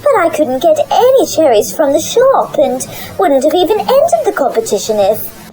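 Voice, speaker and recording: A woman's voice: high-pitched, wildly swooping pitch, moderate pace, mellow, soft background music, low-quality recording. An actress playing a flustered, indignant village baker woman in a comic radio drama. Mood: indignant, defensive.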